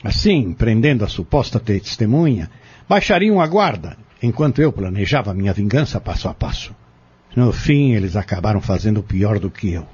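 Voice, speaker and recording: A man playing a cold, vengeful schemer who confesses his crimes in an audio drama, with a husky voice. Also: on the low side, animated, moderate pace, a little mellow, dull audio. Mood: calculating.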